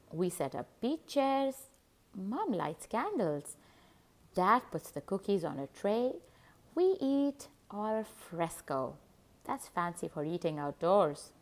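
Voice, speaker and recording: A woman narrating a children's picture book as host, in an expressive, deliberate storytelling manner. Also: mid-range voice. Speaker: host and read-aloud narrator.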